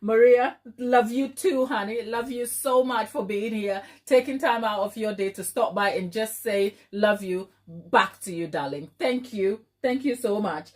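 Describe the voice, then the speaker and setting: A woman's voice: medium pitch, measured pace, hardly any pauses, a little mellow. A woman with a Ghanaian accent hosting a casual live chat, affectionate and playful, often repeating phrases for emphasis. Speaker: baking livestream host.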